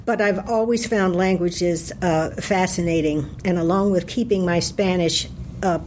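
A woman's voice: on the low side, a little slow, a little mellow, a little hiss or hum. A woman who manages a branch library, speaking in a chatty, wry way in a panel discussion.

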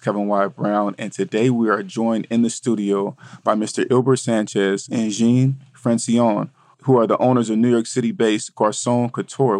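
A man's voice: lowish voice, dry recording. A man hosting a studio interview, talking in a casual, friendly way and calling his guests man and brother. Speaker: host.

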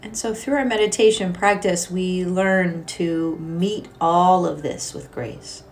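A woman leading a guided meditation as a teacher, speaking in a gentle, soothing, unhurried way with long pauses. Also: lowish voice, steady tone, slight background noise. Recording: soft music behind the voice. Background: music.

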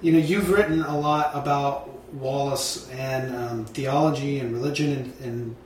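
A man of middle pitch co-hosts a podcast and asks his guest direct, pointed questions. His voice rings in a very echoey room, over a faint hiss of noise. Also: steady tone, moderate pace, a little mellow. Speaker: podcast co-host. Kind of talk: casual podcast chat.

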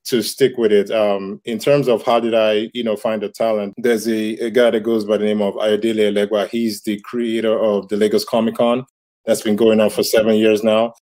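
Nigerian-born creator in his thirties, low warm voice, thoughtful interview answers. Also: level tone, normal speed. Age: 30s. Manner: reflective, many fillers and restarts. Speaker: comic book creator. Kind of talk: casual interview talk.

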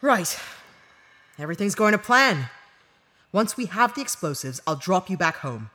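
An actress playing a brash, cunning, cool-headed disgraced ex-narc in an audio drama. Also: female voice, lowish voice, big pitch swings, normal speed, sharp tone. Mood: calm, scheming.

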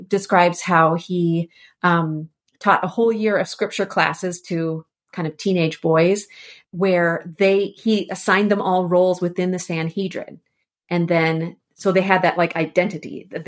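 A woman speaking as an expert guest in a conversational podcast interview, explaining things informally with animated asides. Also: fairly low pitch. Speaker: expert guest, annotated edition editor.

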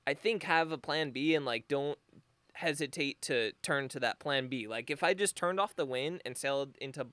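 A young man at a middle pitch, his voice smooth and resonant, recorded dry and close. He tells his story in a loose, easygoing way, full of "like" and small laughs. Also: moderate pace, pushed and bright, dry recording. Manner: laid-back, rambling with fillers and chuckles. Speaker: guest sailor. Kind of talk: casual storytelling.

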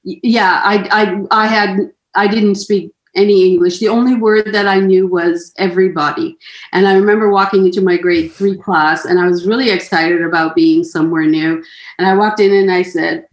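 A woman's voice: medium pitch, fairly even intonation, moderate pace, roomy sound, poor audio. A German-born woman in her fifties, whose first language is German, talking through her thoughts in an interview with frequent restarts and fillers. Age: 50s. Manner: hesitant, many restarts and fillers. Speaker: author guest. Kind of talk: conversational interview.

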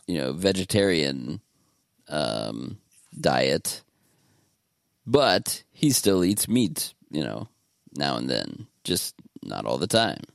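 A man's voice: extremely low pitch, very animated, slow, gravelly, no room sound, studio quality. A man hosting a podcast in casual chat, talking in a laid-back, meandering way. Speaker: podcast host.

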